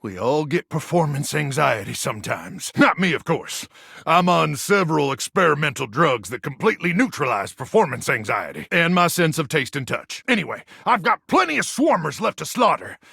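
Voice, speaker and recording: An actor playing an earnest, unhinged muscle-bound post-apocalyptic warrior in a video game, gravelly and raspy, full of over-the-top military bravado. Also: male voice, fairly high pitch, sing-song, moderate pace, pushed and bright, dry recording, studio quality, exaggerated voice. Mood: earnest, cocky. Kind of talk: video game character acting.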